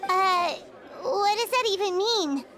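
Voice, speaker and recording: A woman voicing a tiny, excitable, blunt fairy companion girl in a video game, squeaky and childlike. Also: slow pace, full character voice. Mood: confused. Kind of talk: English video game voice acting.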